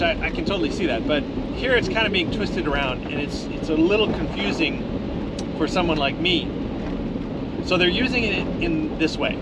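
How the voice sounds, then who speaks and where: Rambling man over loud background noise. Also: a little high. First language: English. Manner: relaxed, rambling, with fillers and restarts. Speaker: vlogger. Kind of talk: casual talk to camera.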